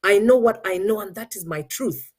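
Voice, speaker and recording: A woman's voice: medium pitch, normal speed, husky. A middle-aged woman with a Ugandan accent gives an earnest motivational talk as host, switching between English and Luganda. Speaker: show host.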